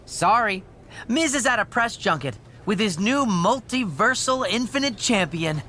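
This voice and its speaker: A woman's voice: mid-range voice, animated, measured pace, sharp tone, a little hiss or hum. A woman playing a breezy, smug backstage assistant in a wrestling game, with a chipper, dismissive, needling manner. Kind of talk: acted video game cutscene dialogue.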